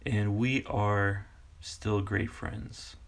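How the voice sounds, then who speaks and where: A man from Kansas talks through his teaching ideas at an unhurried pace. His voice is low and gravelly, with a rough fry in it. He rambles, stops and restarts, and fills the gaps with ums and "you know.". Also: fairly even intonation. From Kansas, United States. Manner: rambling, halting, full of fillers. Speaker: English teacher and video host. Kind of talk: casual tutorial talk.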